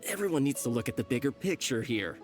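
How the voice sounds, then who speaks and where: An actor playing a nervous smooth-talking petty crook with a salesy manner, over game music. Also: male voice, medium pitch, very animated, fast talker, lightly acted. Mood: shifty. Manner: salesy, nervous, talks his way out. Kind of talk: video game voice acting. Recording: game soundtrack under the voice.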